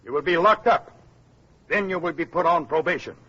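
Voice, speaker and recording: A man's voice: fairly high pitch, a little fast, sharp tone, breathy edge. An actor playing a stern, rigid male director of a survivor camp in a radio drama, officious and authoritarian. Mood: stern, official. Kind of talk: 1950s radio drama acting. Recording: muffled old radio recording.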